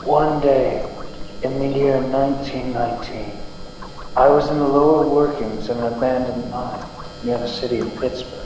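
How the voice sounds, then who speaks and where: A man's voice: medium pitch, steady tone, moderate pace, a little mellow, close to an everyday voice. Young man as earnest space hero, very echoey. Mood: solemn recounting. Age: young adult. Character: earnest heroic male space adventurer, loyal and polite. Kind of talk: old-time radio serial acting. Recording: very echoey hall, distant, faint hum.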